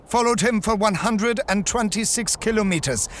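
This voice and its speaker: An actor playing a bold, shrewd rival hunter in a science fiction audio drama, with a declamatory, challenging delivery. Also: male voice, high voice, normal speed, background noise, lightly acted. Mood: bargaining.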